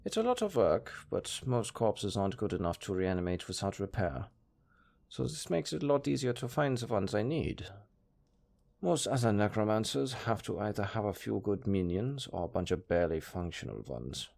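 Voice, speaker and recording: A man's voice: fairly low pitch, very animated, normal speed, gravelly, darkish tone. A man playing a pragmatic, chatty necromancer who talks casually and matter-of-factly about grisly work in an audio roleplay. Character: chatty, pragmatic male necromancer, former tailor. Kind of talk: intimate audio roleplay acting.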